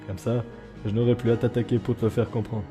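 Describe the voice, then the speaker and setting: A man playing a weary, guarded skeleton with a gentle, earnest streak in a dramatic comic dub. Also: mid-range voice, level tone, fast, lightly acted. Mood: firm, wounded. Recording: long reverb with music underneath.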